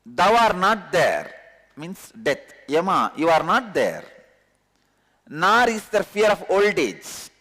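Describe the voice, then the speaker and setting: A man with a thick Tamil accent delivers a spiritual discourse in a slow, unhurried rhythm, leaning on key words and repeating them with earnest emphasis. His voice sits a touch high for a man and rings out with the long reverb of a large hall. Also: sing-song, bright, forceful tone. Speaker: spiritual teacher.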